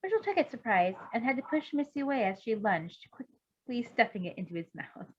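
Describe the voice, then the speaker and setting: A woman's voice: fairly high pitch, big pitch swings, brisk, lo-fi sound, slight background noise. A woman reading fan fiction aloud as an amateur narrator, expressively and informally. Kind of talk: expressive story narration with casual asides.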